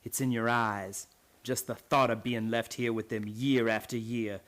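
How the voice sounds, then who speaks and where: Male actor as old servant boatman, bitter, hateful, folksy menace, deliberate phrasing, radio play. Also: mid-range voice, level tone, fairly bright tone, natural voice. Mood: bitter, gloating. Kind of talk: radio drama acting.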